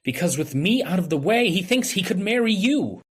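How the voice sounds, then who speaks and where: A man's voice: high-pitched, big pitch swings, moderate pace, husky, heavily acted. A man playing a wronged young medical intern, bitter and brooding, in old-time radio drama style.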